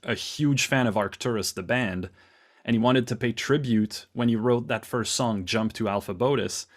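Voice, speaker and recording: A man from Canada speaks over a video call as an interview guest, in a middle-range voice. He talks quickly and restarts his phrases often, with a loose, self-deprecating ease. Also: medium pitch. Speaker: musician guest. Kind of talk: casual interview chat.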